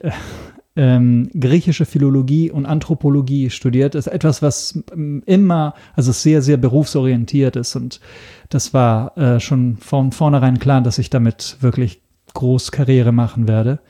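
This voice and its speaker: A man's voice: mid-range voice, moderate pace, warm tone. A man from Italy, a non-native German speaker with an Italian accent, answering interview questions with frequent hesitations. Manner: hesitant, many ums. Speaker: podcast guest. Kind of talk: casual interview talk.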